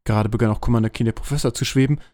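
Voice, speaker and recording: A man's voice: mid-range voice, steady tone, racing, mellow, no echo, clean studio sound. A man narrating a scripted comic fantasy audio drama as its storyteller.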